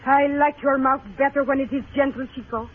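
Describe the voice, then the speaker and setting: A woman's voice: sits high, fairly even intonation, lightly acted. Actress as mysterious girl. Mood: coolly intimate. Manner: dramatic, poetic, deliberate. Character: mysterious, fatalistic Mexican young woman in Western. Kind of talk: 1950s radio drama acting. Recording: tinny, faintly noisy old radio recording.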